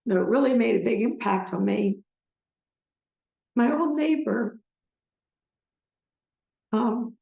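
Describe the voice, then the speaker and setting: An older American woman giving a personal talk to a gathering, speaking in a halting, emotional way. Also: a little high, gravelly, dark tone, dull audio. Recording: roomy, echoing sound.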